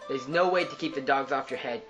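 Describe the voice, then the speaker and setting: A man's voice: medium pitch, a little fast, music in the background, lightly acted. A man playing a vengeful, merciless Greek warrior in a mythological puppet show. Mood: contemptuous.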